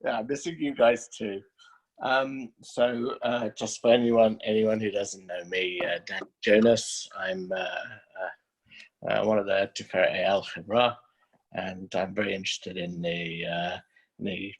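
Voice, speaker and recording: A man introducing himself in an online class, jovial and halting with many fillers, on a video call. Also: on the low side, level tone, husky, poor audio. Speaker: class participant. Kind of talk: casual introduction.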